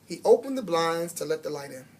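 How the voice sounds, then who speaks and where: A man with an American accent reading a children's book aloud in an expressive, dramatic storybook style. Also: fairly high pitch, fairly quick, husky.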